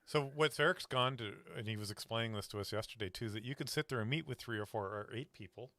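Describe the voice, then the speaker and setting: A man's voice: medium pitch, fast, sharp tone, no room sound. A man hosting a casual interview as a company representative, speaking in an informal, loosely phrased way. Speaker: interviewer host from a sponsoring company.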